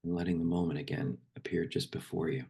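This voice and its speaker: A man speaking as a meditation guide and poetry reader, slow and unhurried, with long pauses between phrases. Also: low voice, fairly even intonation, vocal fry, warm tone, husky.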